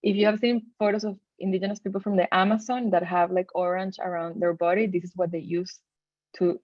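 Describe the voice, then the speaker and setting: A woman from Venezuela with a Venezuelan Spanish accent gives an informal presentation in a halting, conversational way, often correcting herself. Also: on the low side, dry recording, muffled recording. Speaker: textile designer giving a talk.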